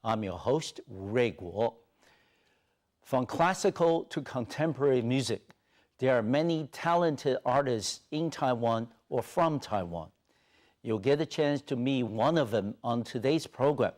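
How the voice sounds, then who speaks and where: A man's voice: medium pitch, animated, a little slow, darkish tone. A middle-aged man hosting a TV interview show in a studio, polite and leaning on "you know" fillers, on a lapel mic. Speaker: TV talk show host.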